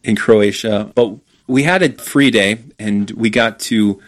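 A man's voice: on the low side, measured pace. Earnest man telling a faith story. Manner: earnest, animated retelling with quoted dialogue. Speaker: ministry speaker. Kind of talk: casual storytelling in a conversation.

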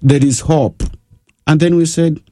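Slow-speaking priest on radio. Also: male voice, on the high side, steady tone, warm tone, no echo. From Uganda. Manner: slow, telling a story with pauses. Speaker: pastor, guest. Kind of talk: radio interview. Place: radio studio.

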